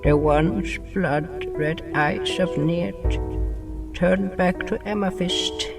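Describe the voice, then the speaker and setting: Male narrator for dramatic fiction: unhurried, slightly distant from the mic, drops articles, clear music bed underneath. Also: sits high, fairly even intonation. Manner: dramatic storytelling, dropped articles. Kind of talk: scripted first-person story narration.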